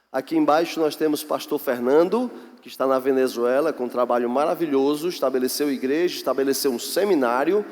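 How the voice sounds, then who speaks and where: A man with a Brazilian accent, a pastor presenting mission work to a church in an earnest, unhurried way with pauses. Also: mid-range voice, darkish tone. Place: large echoey church hall. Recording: echoey hall, long reverb.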